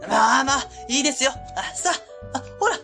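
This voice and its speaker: A woman's voice: high-pitched, lively intonation, fairly quick, vocal fry, over music. A woman playing a cheerful, welcoming small-factory boss, friendly and polite, in a radio drama.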